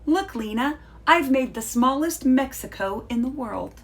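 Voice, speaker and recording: Woman acting out an excitable high-pitched little girl. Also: measured pace. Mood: proud, eager. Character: excitable young girl, eager and proud storybook child. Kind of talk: storybook read-aloud.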